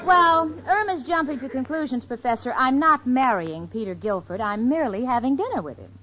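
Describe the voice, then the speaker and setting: A woman's voice: high-pitched, expressive, fairly quick, a crowd in the background. An actress playing a sensible, poised, drily patient young single woman in an old radio sitcom. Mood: dry. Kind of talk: 1940s-50s radio sitcom acting. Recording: dull, noisy old radio recording.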